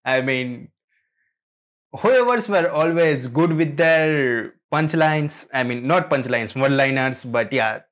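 A man casually reacting to game trailers, speaking in a hesitant, halting way. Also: mid-range voice, lively intonation, fairly bright tone. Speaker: reaction video host.

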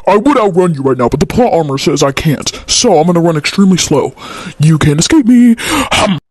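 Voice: medium pitch, sing-song, fairly quick, husky, no room sound. An amateur voice-over performer improvising comic movie dubbing in a goofy, exclaiming, theatrical way. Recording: loud movie noise and roars behind.

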